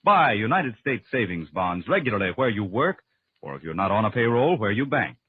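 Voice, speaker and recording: A man's voice: on the low side, big pitch swings, brisk, husky. A man doing dramatic, emphatic scripted announcing and narration in a 1940s radio style. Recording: tinny narrow-band old radio broadcast.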